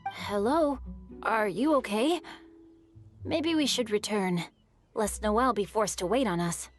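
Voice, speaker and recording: A woman's voice: mid-range voice, a little slow, soft background music, natural voice. A woman voicing an eloquent, bookish young boy hero in a video game, speaking in a formal, poetic and articulate manner. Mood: earnest, encouraging. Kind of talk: scripted video game dialogue.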